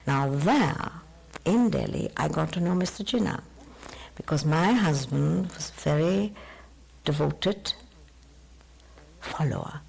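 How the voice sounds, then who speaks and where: A woman in her sixties with an Austrian-tinged British accent, recalling the past slowly and thoughtfully in an interview, with many pauses. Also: sits low, very animated, vocal fry, a little mellow, slight background noise, low-quality recording. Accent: Austrian-tinged British English. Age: 60s. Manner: measured and reflective, with many pauses. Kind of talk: recorded oral history interview.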